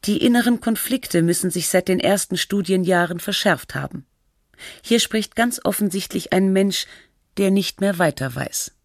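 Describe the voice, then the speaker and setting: A woman playing the sober, measured narrator of a literary radio documentary. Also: medium pitch, no echo, studio quality, natural voice. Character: composed documentary narrator of a fictional radio feature.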